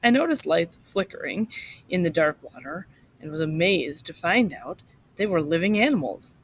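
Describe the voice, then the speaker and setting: A woman reading a short story aloud to children in an expressive, instructive way, like a teacher. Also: on the low side, moderate pace, husky.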